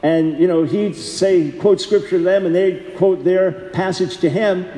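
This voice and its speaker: A man's voice: sits high, steady tone, unhurried, mellow. A man preaching a sermon in an earnest, conversational way, often repeating himself for emphasis. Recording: echoey hall, long reverb.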